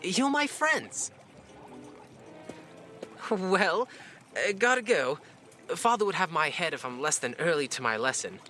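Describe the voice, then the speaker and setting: A man's voice: on the high side, very animated, measured pace, pauses often, bright, forceful tone, faint music behind, heavily acted. A man playing a breezy, privileged young lord's son in an audio drama, chatty and flippant. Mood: flustered.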